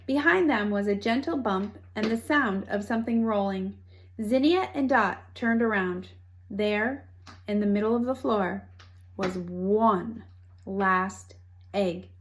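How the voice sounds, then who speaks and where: A woman reading a children's story aloud with expressive narration and dramatic, deliberate phrasing. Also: mid-range voice, a little hiss or hum. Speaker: storybook reader.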